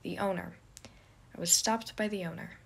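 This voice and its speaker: A woman voicing a guarded, weary younger man with a dry, low-key manner in an audio story. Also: steady tone, normal speed, creaky, breathy edge, poor audio. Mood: defensive. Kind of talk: fan fiction audio story character.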